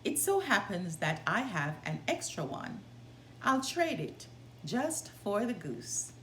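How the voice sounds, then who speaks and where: A woman voicing a friendly, persuasive, flattering tradesman in a storybook read-aloud. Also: mid-range voice, takes it slowly. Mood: sly offering. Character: friendly, persuasive male knife grinder trading shrewdly. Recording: roomy, with clear background noise.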